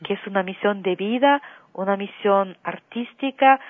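A Brazilian-born woman singer, a guest on a radio interview by phone, telling her story slowly with long pauses and fillers. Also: mid-range voice, no echo. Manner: slow, warm storytelling with drawn-out fillers, sings softly at times. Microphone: telephone. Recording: tinny phone line.

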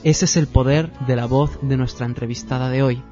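A male radio host introducing and interviewing his guest in a calm, measured announcer's voice. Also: mid-range voice. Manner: measured, poetic introduction, polished announcer delivery. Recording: dull radio sound with music behind.

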